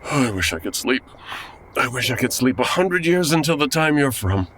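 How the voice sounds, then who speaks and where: A man's voice: mid-range voice, sing-song, normal speed, a bit bright. An actor playing an anxious, bewildered, earnest man from the 1940s in a comedy audio drama. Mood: tired, hesitant. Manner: fretful, earnest. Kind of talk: comedy audio drama acting, old-time radio flavour.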